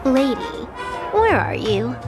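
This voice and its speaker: A cunning young trickster for a game. She speaks slowly and teasingly in a fairly high, swooping voice over music. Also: wildly swooping pitch, vocal fry, heavily acted. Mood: sly, teasing. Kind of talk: dramatic video game voice acting.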